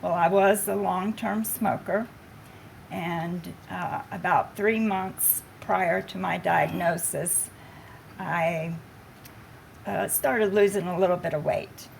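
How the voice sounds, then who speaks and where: Woman on a panel, talks real slow and halting, lots of uh's, gravelly voice, bit of hiss behind. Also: on the low side, steady tone, poor audio. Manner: slow, halting, with many fillers. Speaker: patient panelist. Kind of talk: panel discussion, personal story.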